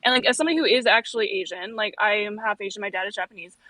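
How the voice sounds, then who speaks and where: Young woman, rapid and bright, blunt and sweary, dry close sound. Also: mid-range voice, no room sound, rough recording. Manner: blunt, swears freely, many fillers. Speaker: debate participant. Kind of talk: casual heated debate on stream.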